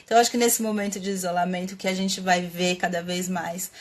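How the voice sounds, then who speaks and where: A woman's voice: lowish voice, flat delivery, fast talker. A woman with a Brazilian Portuguese accent hosting a live stream, thinking aloud in a casual, reflective way with many fillers and restarts. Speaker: host of a live stream on writing. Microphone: webcam or computer mic, live stream.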